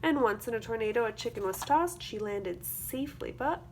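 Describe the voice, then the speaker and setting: Woman, fairly high voice, teacher reading picture book to children, rhythmic expressive phrasing, noticeable background noise. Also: moderate pace. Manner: rhythmic, expressive delivery of rhyming verse with explanatory asides. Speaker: teacher reading aloud to a class.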